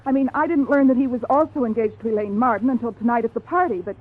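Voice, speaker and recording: A woman's voice: a little high, quick pace, natural voice. A woman playing an ambitious, defensive young actress under questioning in a 1950s radio crime drama, proud and evasive. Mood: confessing. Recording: tinny, phone-like old radio recording.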